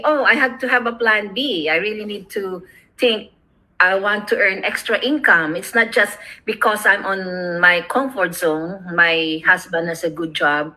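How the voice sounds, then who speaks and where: A woman's voice: fairly low pitch, pushed and bright, low-quality recording. A middle-aged woman with a Filipino accent, chatting casually on a video call and rambling with many fillers about her online business. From Philippines. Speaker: online business owner, co-host.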